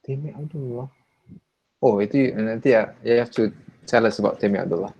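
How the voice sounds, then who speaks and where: A Malaysian man lecturer talks in a halting, repetitive way on a video call, his voice at an ordinary middle pitch. He slips into Malay phrases as he goes. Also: warm tone, breathy edge. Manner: halting, repeats words, mixes in Malay. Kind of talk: online class chat.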